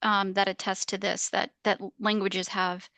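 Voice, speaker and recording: A woman's voice: medium pitch, level tone, normal speed, pushed and bright, clear, resonant tone. A woman researcher in an academic panel discussion on a video call, talking hesitantly with frequent restarts and fillers. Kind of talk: academic round-table discussion.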